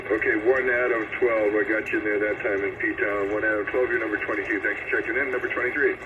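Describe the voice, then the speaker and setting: A man's voice: on the low side, steady tone, fast talker. A man hosting a CB radio roll call, checking in stations in a courteous, procedural manner. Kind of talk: CB radio net check-in calling. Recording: noisy, tinny CB radio transmission. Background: loud radio static.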